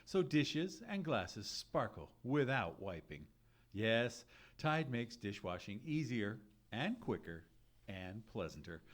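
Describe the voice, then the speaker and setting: An actor playing an upbeat vintage radio announcer delivering an emphatic, deliberate sales pitch. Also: male voice. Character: smooth-selling, upbeat old-time radio pitchman. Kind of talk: scripted vintage radio commercial.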